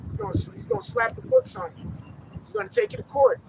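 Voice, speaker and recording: Male street preacher, emphatic, gravelly edge, outdoors with traffic. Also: very high voice, very animated, fast. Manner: emphatic, calls on reader to go ahead. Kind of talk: street sermon.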